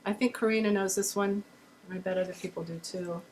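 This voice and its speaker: A woman's voice: medium pitch, steady tone, a little fast, background noise. A woman from Delaware County co-leading a family folk workshop on a video call, friendly and encouraging. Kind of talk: casual online workshop talk.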